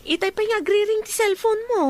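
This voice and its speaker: A woman's voice: very high-pitched, steady tone, a little fast, a little mellow. A woman playing a sympathetic, inquisitive friend in a radio drama.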